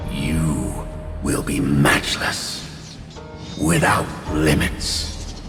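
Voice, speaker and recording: A man voicing an ancient fire spirit in a game, a grandiose and sinister tempter who speaks slowly and coaxingly. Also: a bass voice, steady tone, heavily acted. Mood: seductive, grandiose. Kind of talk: video game cutscene acting. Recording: echoing voice under loud music.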